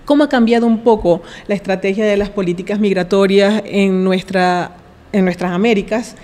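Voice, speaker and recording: Brief: female academic, soft dark tone, conference presentation with long hall reverb. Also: medium pitch, moderate pace. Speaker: researcher giving a conference lecture. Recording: reverberant hall, played-back lecture recording.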